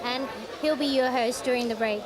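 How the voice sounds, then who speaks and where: A woman with a high voice chats casually and fluently in a live interview. Her English is informal and easy, with clear crowd chatter all around her. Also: steady tone, a little fast, rough recording. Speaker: news editor and panel moderator. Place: busy public forum venue. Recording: crowded event chatter behind.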